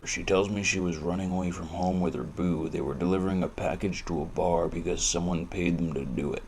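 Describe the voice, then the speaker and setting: Man playing a gravelly, brooding noir private eye. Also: deep voice, fairly even intonation, a little fast, a little mellow, a little hiss or hum. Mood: brooding, dramatic. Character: brooding, cynical hardboiled male private eye. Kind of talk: noir detective audio drama, narration and dialogue.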